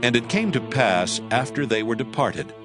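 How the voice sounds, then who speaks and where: A man narrating a dramatized scripture audiobook in a solemn, deliberate storytelling manner. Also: medium pitch, lively intonation. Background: music.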